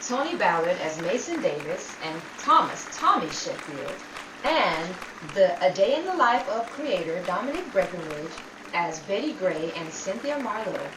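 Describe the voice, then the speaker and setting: A woman's voice: fairly low pitch, very animated, normal speed, crowd noise. A woman cast member of a radio-style show, announcing credits and chatting casually with fellow performers. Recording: very noisy echoey live room, distant mic.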